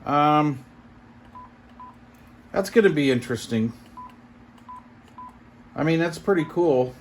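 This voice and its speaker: A man's voice: on the high side, lively intonation, slow pace. A man giving casual unboxing commentary as a reviewer, thinking aloud with mild, impressed exclamations. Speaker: product reviewer. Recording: clear background noise and room ambience.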